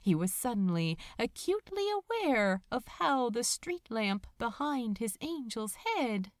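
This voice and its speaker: A woman reading a romantic story aloud as a narrator, with a dramatic, tender and measured delivery. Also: on the high side, sing-song, no room sound, studio quality. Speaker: fan fiction audiobook narrator. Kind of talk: expressive scripted story narration.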